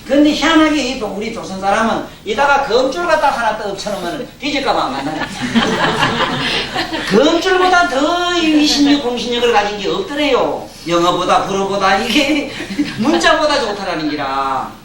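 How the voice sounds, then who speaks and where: A man's voice: very high voice, very animated, moderate pace. An older man with a Gyeongsang accent giving a Buddhist dharma lecture, folksy and joking, often asking his listeners questions. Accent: Gyeongsang dialect. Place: lecture hall. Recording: roomy hall, distant mic, faint hiss.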